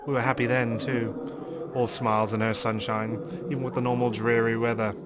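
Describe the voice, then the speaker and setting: A man hosting a let's play, reading a visual novel aloud in a measured, theatrical way. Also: mid-range voice, little pitch movement. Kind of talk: dramatic read-aloud narration of a visual novel with commentary.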